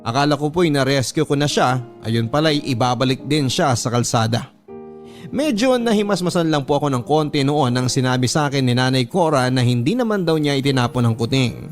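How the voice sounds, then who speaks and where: A man reading a scripted dramatic first-person story as a radio storyteller, earnest and polite, with music behind. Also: medium pitch. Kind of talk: scripted dramatic narration of a letter.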